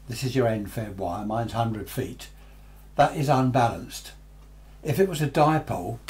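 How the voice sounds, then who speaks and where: An older man with a medium-pitched voice. He explains in a conversational, unscripted way, like a hobbyist giving a workshop tutorial. He often restates his points in slightly different words. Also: a little mellow. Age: 60s or 70s. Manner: conversational, explanatory, repeats and rephrases himself. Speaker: amateur radio enthusiast presenting a tutorial. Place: small cluttered home radio workshop, indoors.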